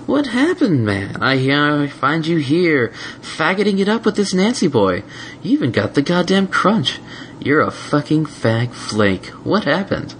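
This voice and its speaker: Cruel sneering unicorn bully, read by a man, pitched up, drawling taunts. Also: sing-song, a little slow, slight background noise. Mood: contemptuous.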